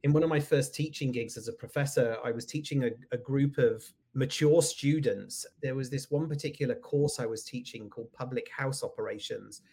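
A man's voice: mid-range voice, brisk, few pauses, mellow, husky. A British man in his forties, a guest in a casual interview, speaking reflectively with self-deprecating humor and frequent ums. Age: 40s. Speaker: guest, executive coach.

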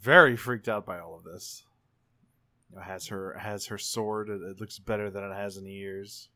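A man's voice: lowish voice, fairly even intonation, normal speed, pushed and bright. A man playing a tabletop roleplaying game, casually improvising what his character does in an informal, hedging way. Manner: informal, hedging, self-repeating.

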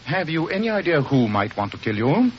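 A man's voice: on the high side, big pitch swings, a little fast. An actor playing a brilliant, aloof male detective with a dry, formal, assured manner in an old radio mystery drama. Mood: intent. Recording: muffled, noisy old radio recording.

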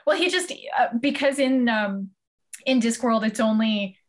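A woman with a fairly high voice chats as a podcast co-host. Her delivery is informal and conversational, like someone telling friends a story in a comedy chat. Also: measured pace.

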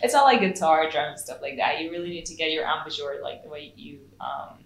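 A woman's voice: low-pitched, brisk, gravelly. A young woman, a saxophonist speaking English as a second language, chatting casually in an interview with frequent fillers and laughs. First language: not English. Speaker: saxophonist and music producer. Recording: echoey room with faint noise.

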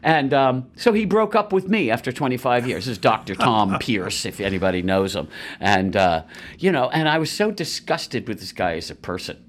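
A middle-aged man talks in a loose, storytelling way, full of asides, restarts and "you know"s. His pitch swings widely from phrase to phrase, giving the chat a lively, animated feel. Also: moderate pace. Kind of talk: casual conversational storytelling.

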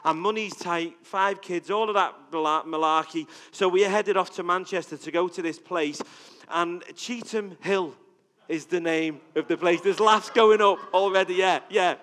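A man's voice: high-pitched, moderate pace, fairly bright tone. A middle-aged man with a Liverpool accent preaching in a chatty way, asking the crowd questions. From England. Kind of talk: conversational sermon. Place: church hall with audience. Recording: reverberant hall.

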